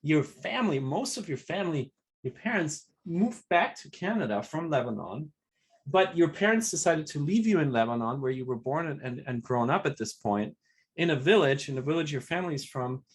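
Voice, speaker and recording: Man hosting an interview, halting delivery. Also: fairly high pitch, lively intonation, breathy edge. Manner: halting, with fillers and restarts. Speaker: host. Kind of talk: interview host.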